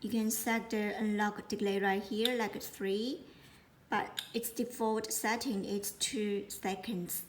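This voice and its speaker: Slow-talking woman demonstrating a product, some noise. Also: mid-range voice, fairly even intonation. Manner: halting, repeats words. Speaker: product presenter.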